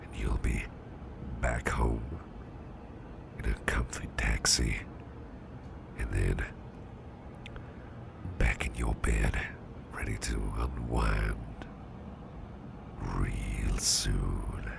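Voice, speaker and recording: Man playing a very deep, gravelly, protective boss. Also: steady tone, background noise, exaggerated voice. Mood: soothing, tender. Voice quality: very deep, breathy near-whisper, gravelly. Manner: soft, unhurried, soothing, gently teasing, repeats phrases. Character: gentle, protective male boss soothing an exhausted employee. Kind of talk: ASMR roleplay, close personal attention.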